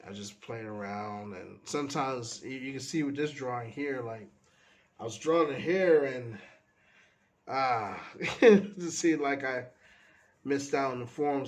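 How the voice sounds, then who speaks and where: A man, stuffy from a cold, casually talking through the pages of his sketchbook in a relaxed, meandering way. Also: mid-range voice, a little slow. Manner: relaxed, meandering, trails off often. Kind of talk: casual rambling commentary.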